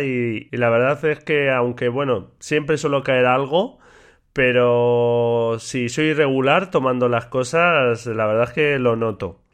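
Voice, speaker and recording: A man with an average pitch hosts his own podcast alone, nasal congestion lending his voice a slightly stuffy, thick edge. He talks in a loose, friendly, conversational way, full of fillers and small restarts. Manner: relaxed, chatty, many fillers and restarts. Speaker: podcast host. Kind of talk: casual solo podcast talk.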